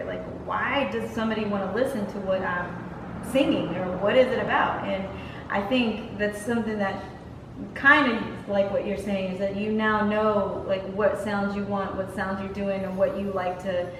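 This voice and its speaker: A woman's voice: medium pitch, steady tone. Woman host in an echoey room. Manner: chatty, quick to laugh along. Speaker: podcast host. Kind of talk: casual interview chat. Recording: roomy, distant mic, clear background noise.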